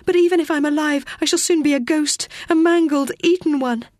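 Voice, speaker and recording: A woman voicing a frightened young girl in deadly danger, high and breathless. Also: brisk, darkish tone, no room sound, studio quality, lightly acted. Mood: terrified.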